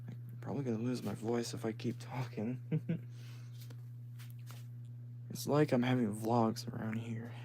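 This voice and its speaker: A man with a deep voice, chatting casually and haltingly on a live stream from home, with frequent restarts. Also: fairly even intonation, warm tone. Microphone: phone. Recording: noisy phone stream with hum.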